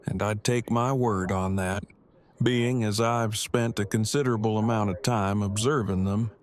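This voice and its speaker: A man acts out an awkward bookish fellow in an audio drama. His voice sits fairly low, and he talks in stiff, verbose, very formal sentences with an earnest streak. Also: steady tone, moderate pace, natural voice. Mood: earnest, flirtatious. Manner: stilted, verbose, formal phrasing. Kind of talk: fan audio drama acting.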